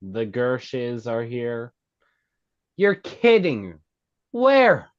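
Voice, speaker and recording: A man's voice: mid-range voice, wildly swooping pitch, very slow, exaggerated voice. A man at a script table read playing a blunt, sarcastic woman who keeps a club's books, curt and mocking. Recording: dull, lo-fi sound.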